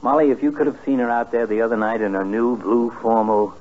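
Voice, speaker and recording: A man's voice: mid-range voice, quick pace, a little hiss or hum, close to an everyday voice. An American man acting a jovial, lovestruck middle-aged bachelor doctor in a 1950s radio comedy, courtly, teasing and effusive. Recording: muffled, band-limited old radio recording.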